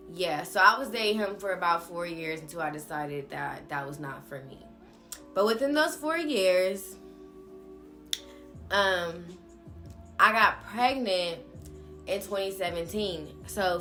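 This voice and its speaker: A young woman telling a personal story in a casual, candid, slangy vlog style with animated asides. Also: medium pitch. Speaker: vlogger.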